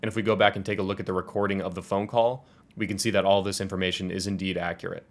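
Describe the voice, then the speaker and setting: A man in his twenties with an American accent presenting a software tutorial in an explanatory, step-by-step way. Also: low voice, level tone, very fast. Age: 20s. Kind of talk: scripted software tutorial walkthrough.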